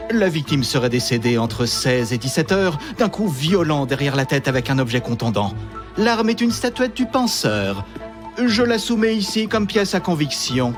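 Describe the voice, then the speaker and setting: Man acting lively, high formal investigator. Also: normal speed, music in the background. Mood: formal, assertive. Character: formal, assertive investigator in theatrical drama.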